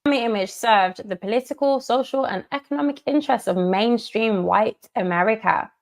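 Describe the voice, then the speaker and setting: A woman from the United Kingdom giving commentary in a measured, emphatic way, repeating her key phrases. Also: a little high. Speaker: commentator in a played video. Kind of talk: monologue commentary.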